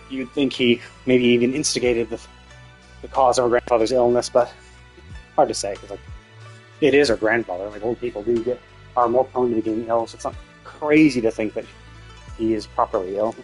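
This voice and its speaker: A man streaming a game, casually rambling and thinking out loud as he commentates. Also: medium pitch, steady tone, brisk, pauses often, soft background music, low-quality recording. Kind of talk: casual live stream commentary, speculating aloud.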